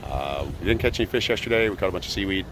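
Low-voiced man vlogging from a boat. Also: racing. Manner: relaxed, rambling, with many fillers. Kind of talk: casual travel vlog updates. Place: outdoors on a small boat at sea. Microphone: phone held at arm's length. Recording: wind and engine noise outdoors.

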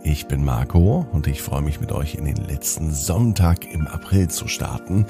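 A man's voice: very deep voice, animated, moderate pace, creaky, over music. A man hosting a children's podcast and narrating a scripted bedtime story, speaking expressively and directly to young children.

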